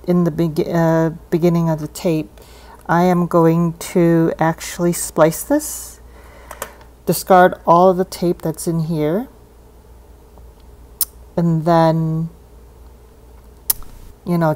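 A woman's voice: low-pitched, warm tone. A woman giving a casual, unscripted hands-on demonstration, thinking aloud with a hesitant delivery. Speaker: hobbyist demonstrating cassette tape repair. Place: indoors at a desk.

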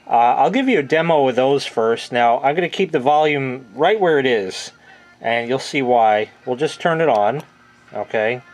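A middle-aged man with an American accent talks through a product in an offhand, plain-spoken way. His voice sits at an average pitch. He is recorded on a handheld camera in a room at home, in the casual style of a hobby reviewer. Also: moderate pace, rough recording. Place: small room at home, laundry area. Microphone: handheld camera mic, close behind the camera.

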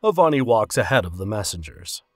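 A man's voice: lowish voice, big pitch swings, a little fast, no echo, clean studio sound, soft background music. A man narrating a scripted fantasy story.

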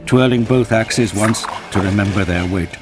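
A man giving dramatic, scripted narration of an action audio drama in a grave, measured storytelling manner. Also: lowish voice, loud music behind the voice.

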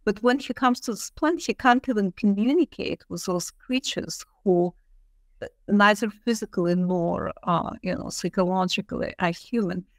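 Female academic interviewee. Mid-pitched, thoughtful and emphatic, explaining off the cuff, with a dry, echo-free sound. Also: normal speed. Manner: thoughtful, emphatic, repeats key phrases. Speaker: interviewed literature professor.

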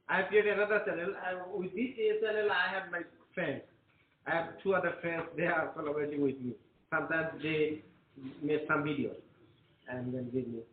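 High-voiced Bengali man lecturing in an echoey room. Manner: repeats words, earnest and encouraging. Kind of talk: classroom lecture. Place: classroom. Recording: echoey room, tinny phone-like sound.

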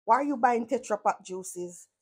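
A woman's voice: a little high, normal speed, a little mellow, slightly rough. A woman from Jamaica with a Jamaican accent gives sarcastic reaction commentary, blunt and full of profane patois.

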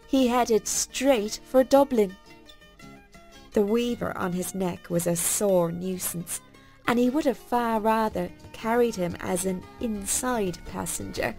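A woman narrating a bedtime story for children in a folksy, expressive storytelling manner. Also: medium pitch.

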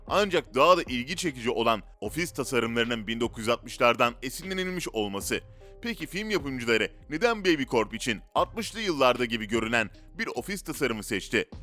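A man's voice: mid-range voice, sharp tone. A man reads scripted narration in a dramatic, rhetorical storytelling way. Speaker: narrator of a list video. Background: background music.

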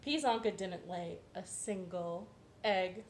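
A young woman presenting a children's storytime read-aloud in a playful, exaggerated, expressive way. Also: fairly low pitch, slow. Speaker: children's librarian storytime host. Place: living room at home. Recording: roomy echo, some background hiss.

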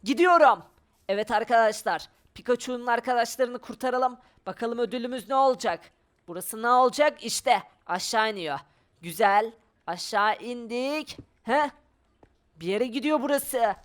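Woman, very high voice, playful gaming commentary, exclamatory, step-by-step narration. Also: normal speed. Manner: exclamatory, narrates each move aloud. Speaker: gaming video player. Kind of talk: playful Minecraft adventure commentary.

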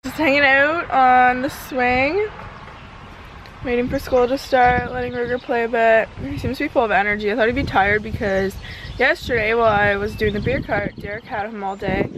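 A woman's voice: on the high side, fairly even intonation, moderate pace. A woman in her twenties chatting casually and informally in a rambling vlog, recorded outdoors on a camera held at arm's length. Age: 20s. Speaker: lifestyle vlogger. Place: mostly outdoors in a leafy backyard, briefly a home kitchen. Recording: outdoor background noise, handheld camera.

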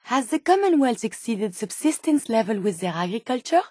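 A polite female robot scientist from a video game, speaks in a high, dry-recorded voice. She is earnest and naive, choosing formal, precise words and full of curiosity about the world. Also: very animated, normal speed, mellow, breathy edge, no echo. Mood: earnest, analytical. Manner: formal, precise wording with wide-eyed wonder. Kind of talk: scripted game companion dialogue.

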